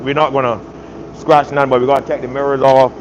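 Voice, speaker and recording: A man's voice: medium pitch, flat delivery, a little fast, background noise. A man from Barbados with a Barbadian accent, chatting casually on a live stream while doing body work in an auto shop. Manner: laid-back, repeats "man" and "you know what I mean".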